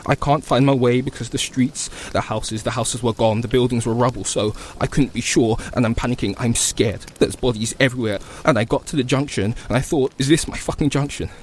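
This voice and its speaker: A man's voice: medium pitch, fairly quick, hardly any pauses, slight background noise, close to an everyday voice. Man acting a frantic, desperate disaster survivor. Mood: panicked, scared. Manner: frantic, repetitive, sweary. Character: panicked, desperate survivor lost in bombed streets. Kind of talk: dramatic stage monologue.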